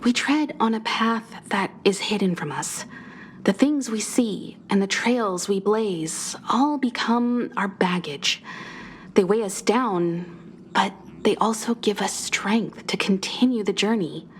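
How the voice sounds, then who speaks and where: A woman playing a composed, caring mentor in a video game, speaking calmly and reflectively. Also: medium pitch, takes it slowly, slight background noise, close to an everyday voice. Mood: reassuring, reflective. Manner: calm, reassuring, reflective. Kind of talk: dramatic video game voice acting.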